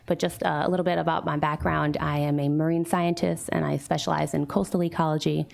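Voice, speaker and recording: A woman's voice: sits low, little pitch movement, fairly quick, gravelly, darkish tone. A woman from Fall River, Massachusetts, a marine scientist giving a prepared public presentation at a town meeting. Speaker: water quality specialist, marine scientist. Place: town meeting room with a microphone.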